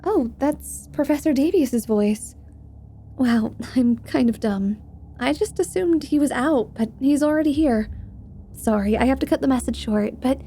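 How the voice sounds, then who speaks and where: A woman voicing a young female mage student who leaves chatty, eager messages, higher than her narration. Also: creaky, mellow, slight background noise. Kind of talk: ASMR roleplay.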